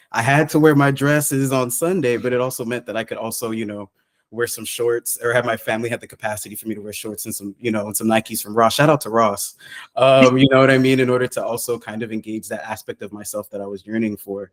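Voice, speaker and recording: A man in his thirties, raised in Southern California, chatting in an upbeat, lively way and laughing often. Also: lowish voice. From Costa Rica, raised in Southern California. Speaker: podcast guest and consultant. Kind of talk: casual chat.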